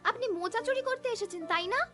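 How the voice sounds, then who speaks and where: A woman voicing a sharp-tongued, suspicious young village wife in a cartoon, argumentative and accusing. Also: very high voice, fast, faint music behind. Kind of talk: cartoon voice acting.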